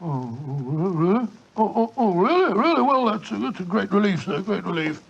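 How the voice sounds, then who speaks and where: A fussy, good-hearted English gentleman with a high-pitched, blustering, stammering delivery and a British accent. It sounds like a muffled 1940s radio play. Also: sing-song, moderate pace, gravelly, slightly rough, heavily acted. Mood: flustered. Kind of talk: 1940s radio drama acting.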